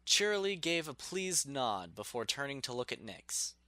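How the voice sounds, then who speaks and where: A man's voice: medium pitch, very animated, normal speed, pushed and bright, no echo. A man narrating a scripted story expressively, in the manner of an audiobook reader.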